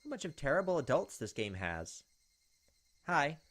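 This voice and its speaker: A man's voice: a little high, big pitch swings, normal speed, fairly bright tone. A man hosting a gaming video, reading story text aloud expressively and dropping in casual asides.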